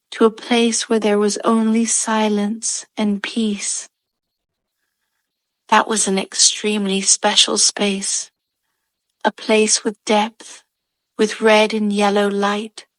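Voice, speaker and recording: A woman narrating a scripted bedtime meditation for children in a gentle, soothing manner. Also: medium pitch, no room sound.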